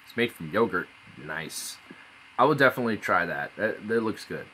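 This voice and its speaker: A man's voice: on the low side, expressive, moderate pace, bright, forceful tone, slight background noise, low-quality recording. A man streaming casually, rambling and swearing as he reads aloud. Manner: rambling, laid-back, swears often. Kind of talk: casual live-stream chat. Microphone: phone.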